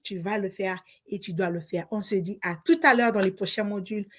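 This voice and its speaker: A woman's voice: mid-range voice, brisk, slight background noise. A woman from Congo, an online business coach, giving a motivational course introduction with emphatic, repetitive, preacher-like encouragement.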